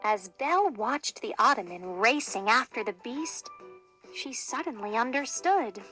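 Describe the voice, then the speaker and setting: A woman reads a children's storybook with a high, bright voice. Her delivery is expressive and dramatic, taking its time over each phrase. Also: soft background music, low-quality recording. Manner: expressive, deliberate. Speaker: storybook reader.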